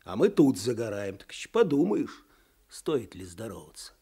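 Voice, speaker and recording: An actor playing a weary, sardonic older provincial clerk in a radio drama, with a husky voice and folksy, wry speech. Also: male voice, medium pitch, big pitch swings, normal speed, dark tone. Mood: wry grumbling. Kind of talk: Soviet radio drama acting.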